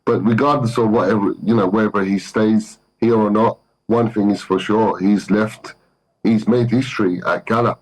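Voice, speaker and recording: A man from Turkey with a London accent speaks as a guest in a remote interview. His voice sits fairly low, and he gropes for words with frequent fillers and restarts. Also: fairly even intonation, moderate pace, poor audio. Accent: London English. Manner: hesitant, many fillers and restarts. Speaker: football manager, guest.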